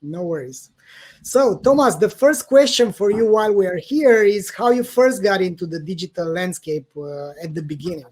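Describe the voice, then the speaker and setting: Host on a video call, mid pitch, kinda halting, lots of uhs. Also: expressive, a little mellow. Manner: hesitant, many fillers and repeats. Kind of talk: hosting a remote interview.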